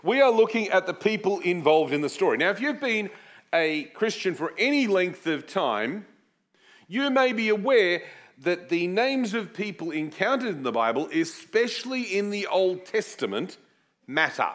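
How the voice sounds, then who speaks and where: A man's voice: high-pitched, moderate pace. A man preaching a teaching sermon. He explains scripture in a chatty way, with asides that poke fun at himself. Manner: animated, swooping emphasis on key words; chatty, with self-deprecating asides. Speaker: preacher teaching a series on a Bible book. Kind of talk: sermon with Bible teaching and prayer.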